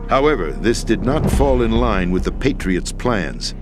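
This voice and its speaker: An actor playing a weary, formal deposed president and schemer in a video game, explaining things in a measured, deliberate way. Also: male voice, fairly low pitch, sing-song, music in the background. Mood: grave, measured. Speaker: video game character in a cutscene. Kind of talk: dramatic expository video game acting.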